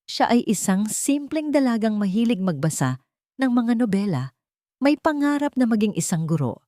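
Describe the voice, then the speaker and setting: A woman reading a dramatic scripted love story as narrator, in a measured storytelling manner. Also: sits low, darkish tone, dry recording, clean studio sound.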